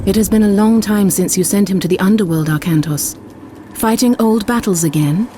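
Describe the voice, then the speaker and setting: An actress playing a wise goddess in a video game, speaking in a grave, measured, portentous way. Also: female voice, mid-range voice, noisy background, natural voice. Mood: solemn. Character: solemn, wise goddess warning a mortal hero. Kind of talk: video game cutscene acting.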